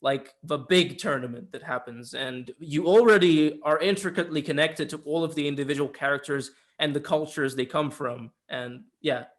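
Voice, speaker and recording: Higher-pitched man in casual discussion. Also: moderate pace, few pauses. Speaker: podcast co-host. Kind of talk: casual film discussion chat.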